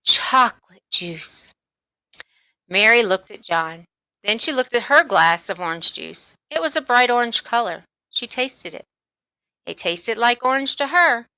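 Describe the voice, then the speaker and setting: Bright-voiced woman reading a children's story. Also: a little high, lively intonation, moderate pace, no room sound. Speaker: storybook reader. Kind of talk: scripted read-aloud narration for children.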